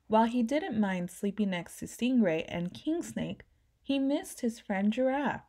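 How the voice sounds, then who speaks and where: Female storyteller for a kids' read-aloud; gentle narration, lively character voices, mellow tone. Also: fairly high pitch, unhurried. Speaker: children's book reader.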